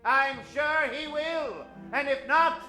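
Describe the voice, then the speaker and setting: Man putting on a high, bombastic old-time radio announcer. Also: slow, sharp tone, full character voice. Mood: grandiose. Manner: theatrical, emphatic, grandiose. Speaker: host and announcer of a live radio show. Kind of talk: 1940s radio announcing, improvised comedy. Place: theater stage before a studio audience. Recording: roomy live stage with music.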